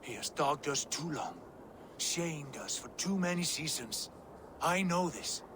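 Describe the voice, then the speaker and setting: A man's voice: a little high, lively intonation, unhurried. A man playing a proud, hearty Viking prince in a video game, bold and declamatory in his speech. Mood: vengeful, resolute. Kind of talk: cinematic video game acting. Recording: clear noise behind the voice.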